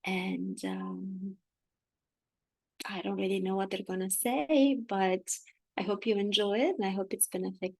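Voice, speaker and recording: A woman with a Romanian accent, a native Romanian speaker, talking casually to viewers as a spiritual channeler, softly searching for words and correcting herself. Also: medium pitch, big pitch swings, measured pace, dry recording.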